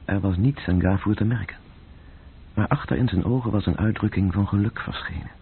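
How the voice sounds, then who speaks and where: An actor playing a sombre, detached storyteller, narrating a radio play in a very husky literary voice. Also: male voice, fairly low pitch, brisk, exaggerated voice. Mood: tense, restrained. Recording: muffled, phone-like old radio recording.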